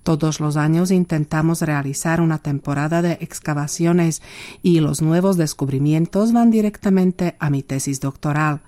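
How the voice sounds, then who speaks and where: A woman voicing a guest's answers as a read translation. Her voice is low and mellow, and her delivery is calm, even and explanatory. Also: normal speed. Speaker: voice-over interpreter for the guest.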